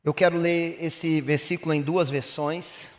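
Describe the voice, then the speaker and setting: A Brazilian pastor from Minas Gerais with a Mineiro accent, preaching a sermon that rises to emphatic bursts and drops low again. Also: male voice, fairly even intonation, unhurried, long reverb tail. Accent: Mineiro Brazilian Portuguese. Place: church hall.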